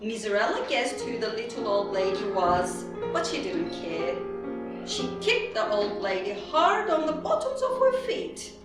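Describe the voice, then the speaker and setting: A woman narrating a scripted readers theatre story in a deliberate, theatrical way. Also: medium pitch, big pitch swings. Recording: very echoey hall, distant mic, music behind.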